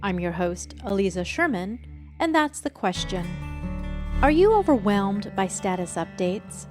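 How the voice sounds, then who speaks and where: A woman's voice: mid-range voice, very animated, unhurried, a little mellow, faint music behind. A woman reading scripted narration for podcasts and radio, as a host and reporter.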